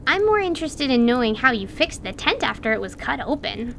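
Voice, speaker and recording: Radio-play casting: woman as shrewd, blunt little girl, very high voice, lively swings in pitch, inquisitive. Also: a little fast, gravelly, a little hiss or hum, full character voice. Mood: curious. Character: curious, shrewd young girl, one of two sisters. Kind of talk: audio drama acting of a tabletop campaign.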